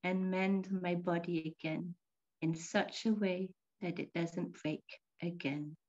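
A woman speaks very slowly in a lowish voice, reflecting on her work in a measured way. The recording sounds dull and lo-fi. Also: fairly even intonation, a little mellow. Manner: slow, reflective, some fillers. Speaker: performer. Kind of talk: artist talk.

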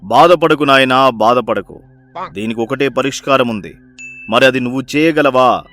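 A man voicing a sly village holy man in an animated moral story, measured, solemn and admonishing. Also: mid-range voice, lightly acted. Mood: reassuring, solemn.

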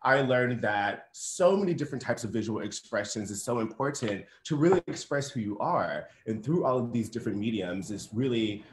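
A man from Alabama talks through his design work in a relaxed presentation over a video call. He is friendly and laughs lightly between points. His voice carries a roomy echo. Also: mid-range voice, normal speed, few pauses. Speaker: guest designer. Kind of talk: casual presentation.

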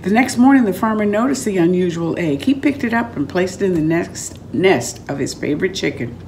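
Older woman, slightly low voice, dramatic read-aloud storyteller, noisy home recording. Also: lively intonation, brisk, darkish tone. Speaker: author reading aloud from own book. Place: room at home, indoors.

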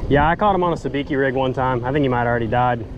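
A young man fishing on a boat, calling out excitedly as the fish bite, with stretches of relaxed narration about the trip. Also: mid-range voice, muffled recording. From United States. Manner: excited, quick exclamations while fishing. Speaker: fishing vlogger. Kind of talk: casual fishing commentary and voice-over. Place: out on a boat on the open water. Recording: wind noise on an outdoor boat mic.

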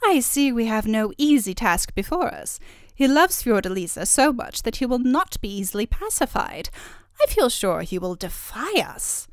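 A woman's voice: a little high, big pitch swings, moderate pace, no room sound, studio quality. A woman voicing a scheming, angry fairy godmother who commands and scolds in a storybook tale.

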